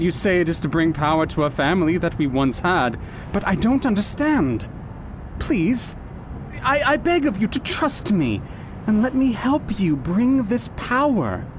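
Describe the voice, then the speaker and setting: A woman acts an earnest villain's son, pleading and impatient, in a sci-fi drama. The voice is lowish and very animated, with clear noise behind it. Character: eager, impatient villain's son seeking his mother's trust. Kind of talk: sci-fi audio drama acting.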